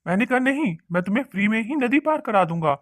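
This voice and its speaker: A man voicing an excitable fisherman husband in an animated cartoon, with exclamatory, breathy gasps. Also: high-pitched, fast talker, no echo, studio quality. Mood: excited. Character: excitable, greedy but kindly fisherman husband.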